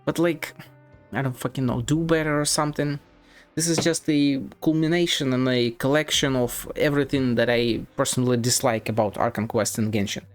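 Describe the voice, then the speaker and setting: A man streaming a game and giving casual, critical commentary, blunt and sweary, in halting, repetitive bursts. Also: mid-range voice, fairly even intonation. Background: game music.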